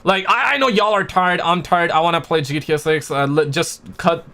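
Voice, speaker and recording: A man's voice: on the high side, normal speed, bright, forceful tone. A man in his twenties chatting casually on a live stream, slangy and stammering, often repeating words and swearing offhand. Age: 20s. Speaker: streamer reacting to gaming news.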